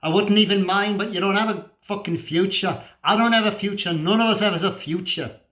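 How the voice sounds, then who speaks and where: A man's voice: sits high, brisk, a bit bright. A man performing a dramatic monologue as a cynical, feverish, scornful apocalyptic drifter, ranting emphatically and preachily with swearing and rhetorical questions.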